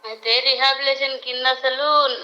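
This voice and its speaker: A woman speaks in a sharp, forceful, fairly high voice. She has a Telangana Telugu accent and gives her account as an interviewee on a phone call. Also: steady tone, moderate pace. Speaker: interviewee, surrendered former Naxalite. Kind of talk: phone interview, recounting grievances.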